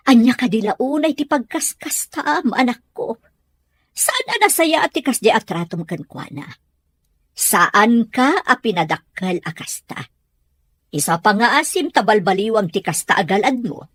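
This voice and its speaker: A woman's voice: on the high side, sing-song, a little slow, fairly bright tone, husky, no room sound, clean studio sound, full character voice. A woman playing a firm, caring mother in an audio drama, speaking in an earnest, admonishing way. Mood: stern, earnest. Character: firm, caring mother correcting her child. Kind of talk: Ilocano audio drama acting.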